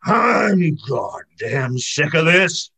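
A man's voice: on the high side, sing-song, extremely slow, bright, forceful tone, slightly rough, heavily acted. A man voicing a furious, grumbling old sea spirit with whiskers.

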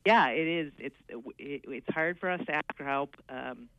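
A man's voice: a little high, normal speed, clean tone. A man being interviewed on radio, explaining in a hesitant, earnest way with many fillers and restarts. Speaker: guest coordinating aging services. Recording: dull lo-fi radio sound.

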